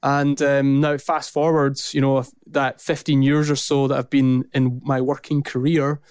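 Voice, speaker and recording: A man's voice: mid-range voice, a little slow, clear, resonant tone, no room sound, studio quality. A man in his thirties with a strong Scottish accent, talking casually as a podcast guest from his office, rambling through lists. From Scotland. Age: 30s. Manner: rambling, list-heavy, lively. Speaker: podcast guest, business founder. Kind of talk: casual interview talk.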